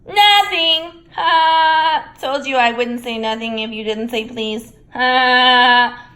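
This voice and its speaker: A woman's voice: high-pitched, takes it slowly, sharp tone, voice pushed up, heavily acted. A woman voicing a mischievous male poltergeist who taunts in a sing-song voice. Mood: gloating.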